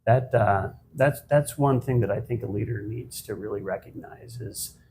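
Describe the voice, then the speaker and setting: A man in his fifties answers questions on a podcast, his low voice unhurried and thoughtful. He pauses, restarts, and fills gaps with ums. Faint noise sits under the recording. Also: mellow. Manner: measured, reflective, with frequent ums and restarts. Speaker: guest, managing partner of an accounting firm. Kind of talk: conversational podcast interview.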